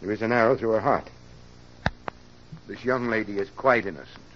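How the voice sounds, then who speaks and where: A man's voice: fairly low pitch, moderate pace, noisy background, close to an everyday voice. An actor playing a fussy, inquisitive male professor in a 1940s radio drama, pompous and theatrical in his phrasing. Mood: intrigued, pompous. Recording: dull, lo-fi old radio recording.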